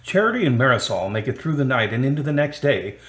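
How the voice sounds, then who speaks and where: A man narrating a radio drama as a composed storyteller, in a measured, matter-of-fact way. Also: medium pitch, sing-song, fairly bright tone, faint music behind. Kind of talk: scripted narration.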